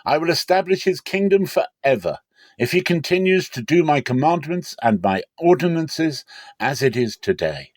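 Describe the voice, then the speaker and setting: A man's voice: fairly high pitch, big pitch swings, moderate pace, dry recording, clean studio sound, voice pushed up. A man playing an aging, fatherly king of Israel, solemn and commanding, in a declamatory scripture reading. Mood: exalted. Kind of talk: dramatic scripture storytelling.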